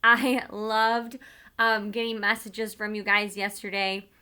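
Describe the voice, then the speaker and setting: A woman teacher speaks at a medium pitch with a bright, forward tone. Her delivery moves between informal talk to pupils and storybook read-aloud. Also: flat delivery, slow, smooth.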